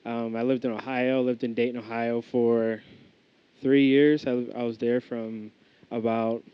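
A man's voice: medium pitch, level tone, unhurried, smooth, no echo. Young man, informal student preacher, rambling with fillers, slightly muffled, dry close sound. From United States. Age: young adult. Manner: casual, rambling, many fillers and restarts. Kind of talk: informal sermon with prayer and testimony.

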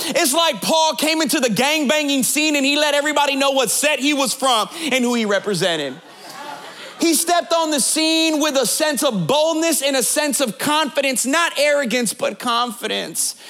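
A pastor in his late thirties preaches with a very high, bright, ringing voice. He jokes with the congregation and talks to them directly, then builds his points through repetition and sing-song emphasis. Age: 30s. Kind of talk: sermon.

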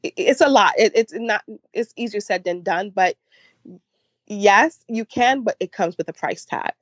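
A woman's voice: mid-range voice, animated, a little slow, no echo, muffled recording. A woman in her forties with a Haitian accent, a guest in a casual interview, reflective and often restarting her sentences. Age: 40s. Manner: reflective, with many restarts and laughs. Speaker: podcast guest.

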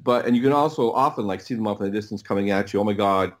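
An American man with a fairly low voice chats as a podcast guest. He is casual and a bit halting. Words often get repeated as he works toward what he means. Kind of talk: casual chat.

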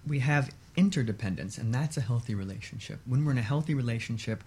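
A man speaking as an expert guest in a radio interview, explaining in a measured way with short repeated lists. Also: medium pitch, expressive, a little mellow, slight background noise.

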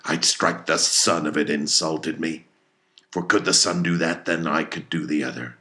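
A man voicing an obsessed, domineering old whaling captain in a storybook reading, gravelly, brooding and grandiose. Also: very deep voice, steady tone, normal speed, bright, forceful tone. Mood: defiant, wrathful. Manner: grandiose, archaic, commanding. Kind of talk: dramatic audiobook character reading.

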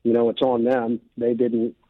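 A man's voice: mid-range voice, completely flat delivery, moderate pace, no echo. Middle-aged American man, dull lo-fi sound. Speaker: interview guest, military veteran. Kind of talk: earnest opinion talk.

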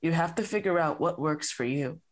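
A woman's voice: sits low, normal speed. A woman playing a distracted, bland therapist. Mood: detached. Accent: Canadian. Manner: calm, scripted, absent-minded.

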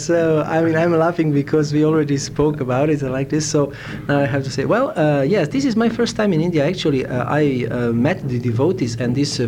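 A man from Serbia with a Serbian accent, answering interview questions conversationally and searching for words in English. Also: a little high. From Novi Sad, Serbia. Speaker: interviewee, Hare Krishna devotee. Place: a room in India. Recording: faint background noise, informal room interview.

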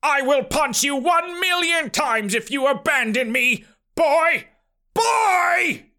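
Man acting out angry uncle, high-pitched, threatening, exasperated yelling, comic storybook villainy. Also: sing-song, extremely slow, heavily acted. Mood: furious shouting. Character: angry, bullying uncle trapped in a crystal prison.

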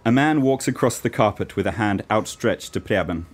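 A man's voice: fairly low pitch, lively intonation, moderate pace. A man reading the scripted narration of an amateur radio play.

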